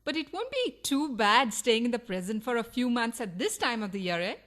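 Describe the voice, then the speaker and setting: A woman's voice: a little high, big pitch swings, fairly quick, fairly bright tone. A woman playing a burglar's jealous, fiery wife in a farcical radio play, melodramatic and challenging. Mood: fussing, apologetic.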